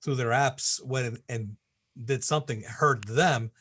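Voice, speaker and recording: A man's voice: mid-range voice, measured pace, fairly bright tone. A man co-hosting a live online show, airing opinions with an incredulous edge. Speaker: co-host of a live vape show. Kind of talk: casual live-stream chat.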